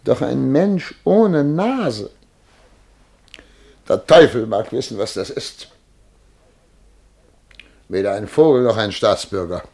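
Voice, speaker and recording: Pompous, plaintive major, creaky voice. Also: male voice, medium pitch, expressive, slow, pauses often, mellow, full character voice. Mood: despairing, lamenting. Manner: pompous, formal, plaintive and indignant. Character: vain, distraught major who has lost his nose. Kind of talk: theatrical German radio drama acting.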